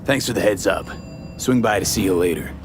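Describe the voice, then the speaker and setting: A man's voice: fairly low pitch, brisk, close to an everyday voice. A male actor playing a dry, weary young mercenary in a video game, terse and matter-of-fact. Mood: brisk, dry. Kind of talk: cinematic video game acting. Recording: music behind the voice.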